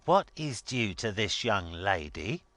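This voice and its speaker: A man's voice: lowish voice, sing-song, slow pace, a bit bright, no echo, exaggerated voice. A man voicing a blustering, scornful older rich man in a dramatic story reading, emphatic and mocking. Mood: ranting, mocking.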